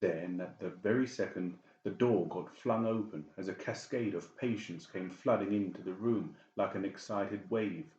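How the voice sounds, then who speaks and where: A man's voice: deep voice, moderate pace, hardly any pauses, darkish tone. A man narrating a dramatic audiobook story from a script. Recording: roomy, slightly dull sound.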